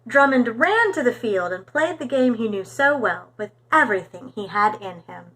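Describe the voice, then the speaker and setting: Woman, children's storytime narrator, highish pitch, very lively intonation, expressive reading. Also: moderate pace. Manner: animated, clear storytelling for young children.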